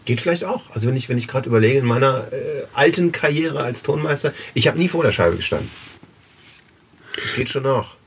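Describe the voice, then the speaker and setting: A man's voice: mid-range voice, animated, brisk. A man interviewing a guest in casual, unscripted conversation, stressing points by repeating words. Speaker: podcast interviewer, runs a voice casting agency. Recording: clear background noise.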